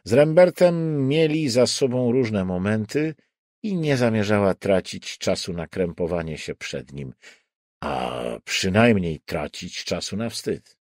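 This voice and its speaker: A man narrating a crime thriller audiobook in a deliberate, suspenseful way with dramatic pauses. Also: fairly low pitch, animated, darkish tone, dry recording, studio quality.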